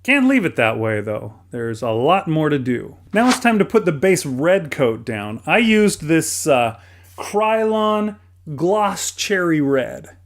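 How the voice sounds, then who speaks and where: A man's voice: a little high, sing-song, slow pace, slight background noise. A middle-aged American man narrates a how-to hobby video from a script, conversationally and with light self-deprecation. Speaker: toy hobbyist and video host.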